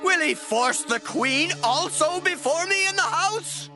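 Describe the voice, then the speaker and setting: A stern, commanding king issues slow decrees with a lively, swinging pitch, voiced by a woman narrator. Background music runs clearly under the dramatized reading. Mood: outraged.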